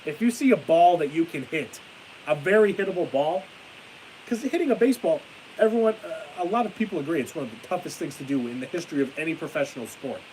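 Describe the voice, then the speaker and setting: A young man chatting on a live stream with a stuffy nose and a fading voice, ranting excitably and then trailing off into tired mumbles. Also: a little high, fast, poor audio. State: stuffy-nosed, losing his voice. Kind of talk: casual live stream chat. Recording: hissy home stream mic.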